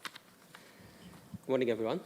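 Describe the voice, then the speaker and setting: A man's voice: medium pitch, slow pace, darkish tone. A man from Egypt with an Egyptian accent, presenting a risk management workshop to a council, explaining carefully with frequent "uh" fillers. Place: council meeting room. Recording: distant mic in a reverberant room with noise.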